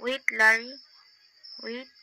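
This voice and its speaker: A bright, high-voiced young Filipina fiancée, played by a woman, eager and gushing in a radio drama. She speaks simple, Filipino-accented English at a slow pace. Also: flat delivery, slight background noise, heavily acted, low-quality recording. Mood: calling out. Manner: gushing, non-native English with simple phrasing. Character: eager, lovestruck Filipina fiancée, aged twenty-four. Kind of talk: amateur radio drama acting in a phone call scene.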